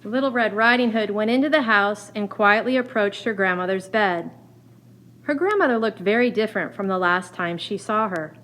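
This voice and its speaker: A woman's voice: mid-range voice, normal speed, a bit bright, low-quality recording. A woman narrating a scripted children's fairy tale as a storyteller. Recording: faint background noise.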